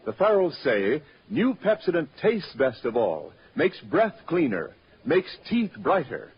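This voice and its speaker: A man's voice: on the high side, huge pitch swings, a little slow, slight background noise. An American man announcing a radio show and reading scripted sponsor copy in a 1940s style, on an old recording. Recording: muffled vintage radio broadcast recording.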